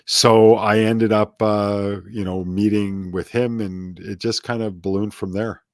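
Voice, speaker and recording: A middle-aged man with a very low voice talks as a guest in a casual interview. He drifts onto tangents and pokes fun at himself. His voice sounds close and dry, with no room in it. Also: little pitch movement, unhurried, no room sound. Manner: rambling, self-deprecating, prone to tangents.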